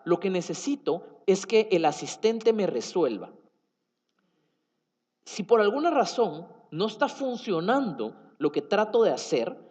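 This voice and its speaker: A man with a Guatemalan Spanish accent giving a tech conference talk, explaining with fillers and self-corrections. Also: sits high. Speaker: conference speaker. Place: conference hall. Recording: dull sound in a reverberant hall.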